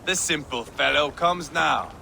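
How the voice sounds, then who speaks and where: An actor playing a proud, hot-tempered Viking warrior lord in a video game, with a scornful, crude, swaggering manner. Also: male voice, on the high side, measured pace, gravelly, pushed and bright, slight background noise, full character voice. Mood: scornful, sullen.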